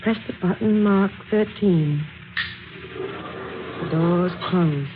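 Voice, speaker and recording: A woman's voice: fairly low pitch, slow. An actress playing an eager, polite young woman job seeker in an old-time radio drama, earnest and hesitant as she thinks aloud. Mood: uneasy, wondering. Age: young adult. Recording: dull, noisy vintage radio recording.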